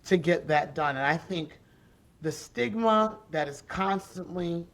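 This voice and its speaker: A woman in her forties with a deep, raspy voice, telling her story as a podcast guest in an animated, emphatic way and repeating key phrases. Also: measured pace. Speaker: podcast guest, special education advocate. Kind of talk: conversational interview storytelling.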